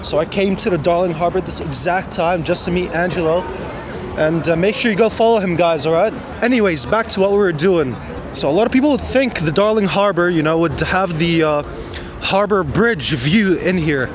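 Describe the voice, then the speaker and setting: A man's voice: sits high, normal speed. A man from Egypt narrating a casual walking travel vlog outdoors at night, with many fillers. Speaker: vlogger. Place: outdoors on a city street at night. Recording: street noise behind.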